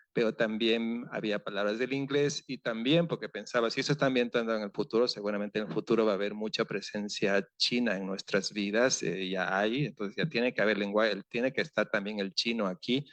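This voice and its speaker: A man with a fairly low voice speaks at a literary panel, picking his way through ideas with pauses, fillers and restarts. The sound is close and dry. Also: creaky, dry recording. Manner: halting, thinking aloud with many fillers and restarts. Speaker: novelist on a seminar panel. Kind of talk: panel discussion.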